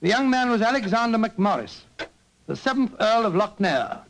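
An actor playing an elderly, genial retired English doctor telling stories in an avuncular, hesitant old-fashioned way on a 1940s radio drama. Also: male voice, high-pitched, big pitch swings, normal speed, fairly bright tone, full character voice. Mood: grave storytelling. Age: older. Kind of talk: 1940s radio acting, storytelling. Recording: noisy vintage 1940s radio recording.

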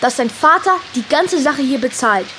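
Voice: high-pitched, expressive, normal speed, pushed and bright, slight background noise. A performer playing an indignant, gossipy schoolchild in a children's audio drama, emphatic and scornful.

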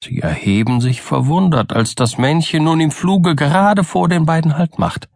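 A man narrating a scripted fairy tale for children in a dramatic, deliberate storytelling manner. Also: on the high side, gravelly, a little mellow, no room sound, studio quality. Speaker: audiobook narrator.

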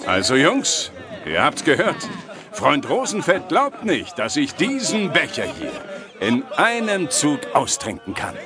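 An actor playing Störtebeker, a bold, boastful, hard-drinking medieval sailor, in an audio drama. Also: male voice, on the high side, huge pitch swings, unhurried, music in the background. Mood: boastful, challenging. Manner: boastful, swaggering, blunt. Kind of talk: German audio drama acting. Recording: noisy tavern ambience behind.

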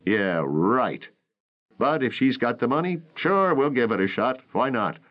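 A man's voice: medium pitch, very animated, a little slow, muffled recording, voice pushed up. A man voicing a skeptical older detective boss who is dismissive and snorting.

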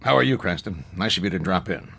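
An actor playing a brisk, worried police commissioner in a full-cast audiobook drama. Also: male voice, low voice, lively intonation, gravelly, pushed and bright, husky, exaggerated voice. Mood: cordial.

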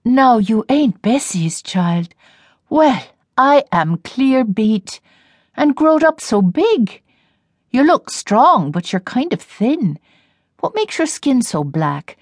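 A woman voicing a gruff, suspicious old grandmother who drawls in a slow, folksy way. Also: fairly high pitch, big pitch swings, darkish tone, no room sound, studio quality, voice pushed up, exaggerated voice. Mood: astonished.

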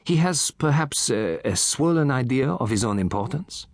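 Casual: guy doing a vain fussy old detective, formal, lively up and down. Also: medium pitch, normal speed, dry recording, clean studio sound, pitched above the performer's own voice. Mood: probing. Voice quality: formal, precise, self-important phrasing. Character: fussy vain little Belgian male detective, older. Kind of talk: audiobook character voice.

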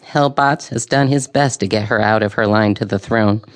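An actress playing a sharp, gossipy old woman in an audio drama, with a gravelly voice and a knowing, dryly opinionated way of talking. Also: extremely low pitch, normal speed. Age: older.

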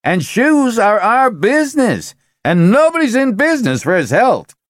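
A man voicing a loud, pushy middle-aged sales executive who rants and lets his voice climb into shouts. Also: a little high, sing-song, normal speed, no echo, studio quality, voice pushed up. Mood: ranting. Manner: forceful, ranting, voice rising to shouts.

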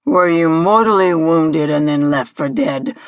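Woman acting a low-voiced, imperious old hag. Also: unhurried, dry recording. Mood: scornful, badgering. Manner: pompous, interrogating, theatrical. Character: imperious, horrid invisible hag demanding answers, female.

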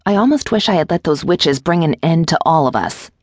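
A woman's voice: sits low, animated, moderate pace, slightly rough, no room sound, studio quality. A woman narrator voicing a defiant, sardonic enslaved woman in a fantasy audiobook, with wry, blunt delivery. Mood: bitter, frustrated.

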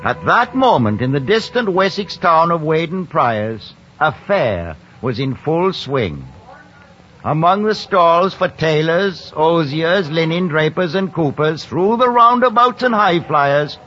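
A man's voice: fairly high pitch, sing-song, slow, fairly bright tone. A man narrating a radio drama in a formal, theatrical 1940s storytelling style. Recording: dull vintage radio broadcast with music.

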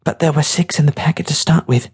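A narrator as a plaintive high-pitched young boy. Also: fast talker, a little mellow, breathy edge. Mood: indignant. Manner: plaintive, protesting. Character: frightened, grieving ten-year-old boy, naive and sulky.

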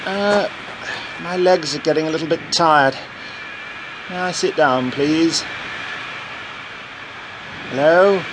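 An actor playing a polite, eccentric wanderer in a sci-fi audio drama, rambling courteously with old-fashioned turns of phrase. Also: male voice, a little high, slow, pauses often, background noise. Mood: chatty, weary. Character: polite, eccentric wandering man, chatty and gently wry.

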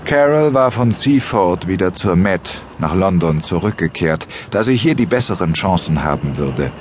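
A man's voice: low-pitched, lively intonation, moderate pace, slight background noise. A man reading scripted narration for a German radio drama.

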